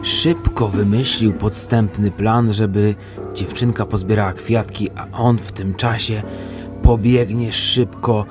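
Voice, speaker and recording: A man's voice: on the low side, fairly even intonation, takes it slowly, music in the background. A man narrating a scripted fairy tale as the storyteller of an audio drama.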